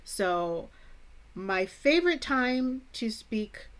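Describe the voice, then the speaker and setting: An American woman from the Chicago suburbs who hosts an English-teaching vlog, speaking in a clear, deliberate teacher's manner with playful asides. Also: fairly high pitch, sing-song. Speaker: English teacher, channel host. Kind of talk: scripted educational vlog.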